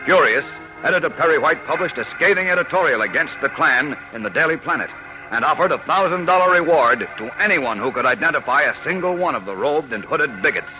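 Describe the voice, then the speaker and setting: A man's voice: a little high, expressive, brisk. A man giving urgent, declamatory narration for a 1940s radio serial. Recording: dull lo-fi 1940s radio recording. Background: music.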